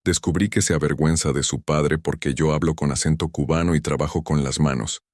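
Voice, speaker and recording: A man tells a first-person drama in a slow, stern, measured way. His voice is extremely deep and booming low. The recording is dry and close, with no room echo. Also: fairly even intonation, a little mellow. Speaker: narrator. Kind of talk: first-person story narration.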